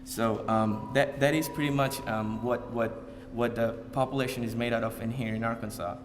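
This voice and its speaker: A man from Bangladesh talks to an audience in a loose, unscripted way. He stops and restarts often and leans on fillers. His voice sits at a middle pitch and carries a long hall reverb. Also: steady tone, over music. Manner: halting, many fillers and repeated starts. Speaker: community speaker. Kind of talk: informal public talk to an audience. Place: event hall.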